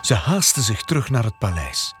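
A narrator with a breathy, husky voice telling a children's story in a dramatic, expressive way. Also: mid-range voice, normal speed. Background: faint music.

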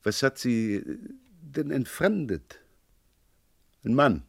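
An actor playing a polite but persistent, dryly sceptical police inspector in a German crime radio play, unhurried and given to hesitant repetitions. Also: male voice, medium pitch, expressive, vocal fry, slightly rough, dry recording, clean studio sound, exaggerated voice. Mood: politely probing.

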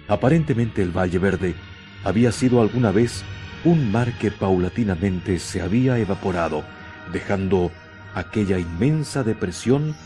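A man narrating a scripted radio drama with deliberate, dramatic phrasing. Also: lowish voice, mellow.

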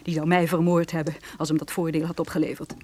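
Een vrouw die in een hoorspel een koele, amorele bendeleidster speelt, verleidelijk en hard. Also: female voice, deep voice, little pitch movement, quick pace, darkish tone. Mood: bitter, defensive. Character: cool, amoral woman, secret leader of a drug gang. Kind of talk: radio drama acting.

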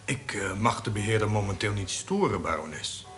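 A man in a radio drama playing a deferential doorkeeper who speaks formally and haltingly. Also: on the low side, lively intonation, a bit bright, faint music behind. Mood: deferential, hesitant. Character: hesitant, deferential male doorkeeper to nobility.

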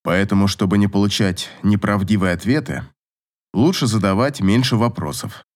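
The domineering man in a late-night romance audiobook, as a male narrator acts him, pinning his beloved down with low, insistent, authoritative orders. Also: normal speed, natural voice. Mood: low, commanding. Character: possessive, domineering male lover, commanding and evasive.

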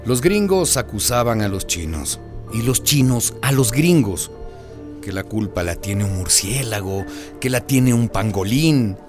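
A man's voice: on the low side, lively intonation, measured pace, over music. An actor playing a plain-spoken man recalling the pandemic in a radio drama, reflective, emphatic and indignant. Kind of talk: dramatized first-person narration.

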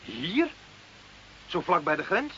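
A man's voice: high voice, sing-song, takes it slowly, sharp tone, exaggerated voice. An actor playing a familiar, teasing younger village man, an ex-convict, in a radio drama. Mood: teasing, curious. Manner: questioning, teasing, colloquial. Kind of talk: Dutch radio drama acting. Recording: muffled old radio recording, faint hiss.

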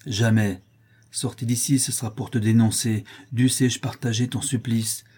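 A man voicing a defiant young male scholar who speaks in a declamatory, accusing way with a husky voice. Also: mid-range voice, fairly even intonation, moderate pace, warm tone, natural voice.

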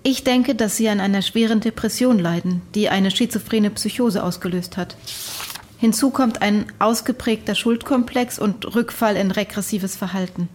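Eine Frau spielt eine sachliche, gefasste Psychologin. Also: female voice, mid-range voice, moderate pace, darkish tone, slight background noise, lightly acted. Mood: composed, probing. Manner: matter-of-fact, probing. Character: composed, clinical female psychologist. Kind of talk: radio drama dialogue.

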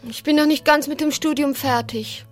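A woman's voice: sits high, fairly even intonation, normal speed, faint music behind, lightly acted. An actress playing a tender, teasing young woman with an intimate, playful manner in a radio drama. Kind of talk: German radio drama acting.

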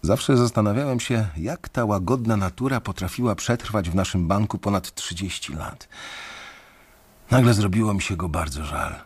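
An anxious, wry bank clerk telling his own story in a radio play. His voice is deep and husky, and the telling stays measured and dry. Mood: somber. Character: anxious, sardonic male bank employee facing layoff. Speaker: narrator and bank analyst. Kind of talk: first-person radio drama narration.

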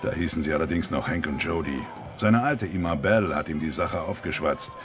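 A sly street informant with an extremely low voice. He schemes in drawn-out phrases and talks like a pious hustler in a radio crime play, with music playing behind him. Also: expressive. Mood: sly, scheming. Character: sly streetwise male informant who quotes scripture. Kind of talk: German radio crime drama acting.

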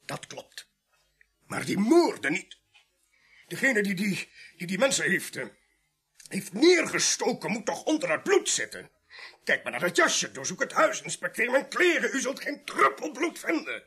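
An actor playing a defensive, high-strung murder suspect in a radio play, stammering and protesting in a husky, strained voice. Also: male voice, big pitch swings, a little slow, long pauses, sharp tone, full character voice. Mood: agitated, protesting. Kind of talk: radio drama acting.

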